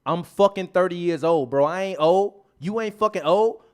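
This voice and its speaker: High-voiced man ranting with heavy profanity. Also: normal speed, darkish tone, smooth. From United States. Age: 30s. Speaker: podcast co-host. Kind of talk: heated podcast debate.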